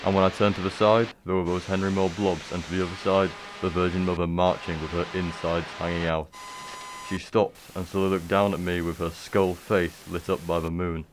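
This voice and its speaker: Deep-voiced man reading a spooky tale. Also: level tone, a little fast, background noise. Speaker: community radio presenter. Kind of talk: reading a spooky story aloud.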